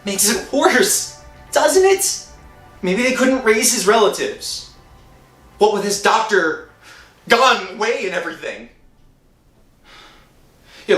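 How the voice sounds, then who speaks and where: A man's voice: high-pitched, full character voice. An actor playing a desperate, guilt-ridden young man in a melodramatic 1960s radio thriller. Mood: urgent. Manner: melodramatic, anguished, swinging from hushed to shouting. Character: desperate, guilt-ridden poor young man, thief, narrating his ordeal. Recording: roomy old radio recording with music.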